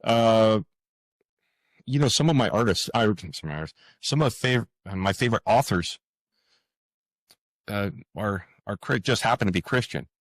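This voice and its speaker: A man's voice: fairly low pitch, lively intonation, moderate pace, pauses often, no room sound. A man speaking as a writer being interviewed, thinking aloud earnestly and often repeating words as he goes. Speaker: podcast guest, fantasy writer. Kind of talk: interview conversation, reflective.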